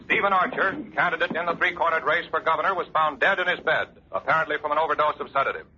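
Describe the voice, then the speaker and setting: An actor playing an urgent, clipped newscaster reading a 1940s radio bulletin. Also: male voice, on the high side, fast, lightly acted. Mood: urgent, crisp. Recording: noisy, dull old radio recording.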